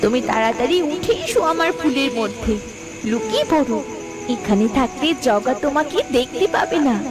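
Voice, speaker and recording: Woman as an anxious, high-pitched talking eggplant. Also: very animated, moderate pace, music in the background. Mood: urgent, worried. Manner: urgent, fretful. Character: anxious, protective enchanted talking eggplant plant. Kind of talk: cartoon voice acting.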